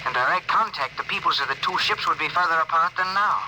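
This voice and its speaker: A calm alien envoy played by a man in 1950s radio drama style. The voice sits a little high, with formal, measured, stilted phrasing. The old broadcast carries clear noise. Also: natural voice. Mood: grave, formal.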